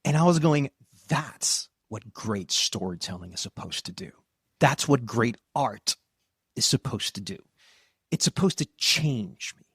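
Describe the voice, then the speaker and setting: A man's voice: mid-range voice, animated, unhurried, fairly bright tone, slightly rough, dry recording, studio quality. A man hosting a podcast, asking questions in a casual, candid way and swearing freely. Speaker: podcast host. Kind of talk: casual interview chat.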